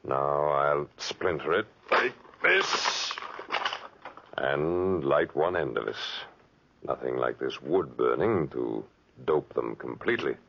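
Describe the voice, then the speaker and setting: Very deep gravelly sinister brute. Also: male voice, lively intonation, a bit bright, a little hiss or hum, full character voice. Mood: coldly menacing. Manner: slow, menacing, matter-of-fact. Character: huge sinister man, murderous robber who drugs guests. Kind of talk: 1940s radio acting. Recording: muffled vintage 1940s radio recording.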